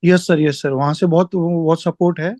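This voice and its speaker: A man's voice: on the high side, steady tone, moderate pace, a little mellow, no room sound. A man deferentially reporting work practices to his superior on a conference call, often stumbling over his words. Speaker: regional staff member reporting.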